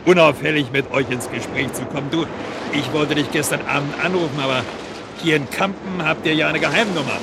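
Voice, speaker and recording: An actor in a German radio drama playing a brazen, cocky blackmailer who was once a hired killer. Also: male voice, on the high side, a little fast, natural voice. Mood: smug, threatening. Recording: loud surf noise behind. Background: ocean waves on a beach.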